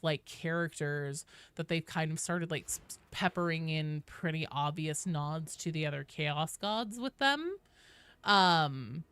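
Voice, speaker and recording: A woman's voice: low voice, fairly bright tone, clean tone. A woman giving casual live gameplay commentary as a streamer, musing aloud and often trailing off mid-thought. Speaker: game streamer.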